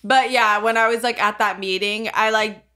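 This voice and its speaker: Young woman, forceful bright voice, mid pitch, podcast co-host, casual, rambling, sweary. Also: fairly even intonation, moderate pace. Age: young adult. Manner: rambling, sweary, heavy use of "like".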